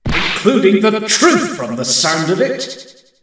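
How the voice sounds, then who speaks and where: A man's voice: high-pitched, wildly swooping pitch, slow pace, a bit bright, slightly rough, exaggerated voice. A man voicing a stern, proud young prosecutor in a game dub, formal and pointed in his speech. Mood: stern, suspicious. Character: stern, haughty young male courtroom prosecutor, suspicious and proud. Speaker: fan dub voice actor. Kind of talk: dramatic video game dub acting.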